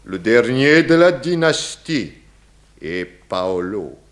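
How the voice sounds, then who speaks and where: A man's voice: mid-range voice, sing-song. An actor playing an eccentric, philosophical Russian émigré with a Russian accent, grandiloquent and cryptic, dropping his articles in a 1960s French radio crime drama. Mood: gossipy, mournful. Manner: slow, grandiloquent, broken grammar with dropped articles.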